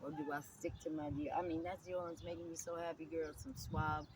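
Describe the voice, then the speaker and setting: A woman's voice: deep voice, fairly quick. A middle-aged woman with a New Jersey accent, talking candidly and animatedly in an interview. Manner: chatty and animated, calls the listener girl. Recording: distant mic with loud outdoor noise.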